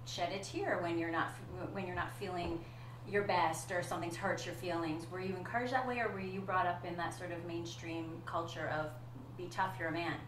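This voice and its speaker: A woman hosting a panel talk show, asking probing questions at a quick pace. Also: on the low side, steady tone, roomy sound, far from the mic, noisy background. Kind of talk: hosted panel discussion.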